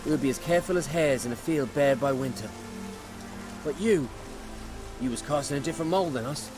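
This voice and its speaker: An actor playing a humble rustic peasant refugee in a video game, talking in an earnest, folksy way. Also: male voice, mid-range voice, a little fast, over music, natural voice. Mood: grateful, earnest.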